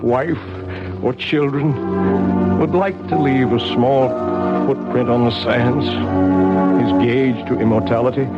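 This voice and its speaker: An actor playing a tormented, embittered middle-aged husband, solemn and theatrical, in a radio drama confession. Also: male voice, mid-range voice. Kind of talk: 1970s radio drama acting. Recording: muffled old radio, loud music underneath.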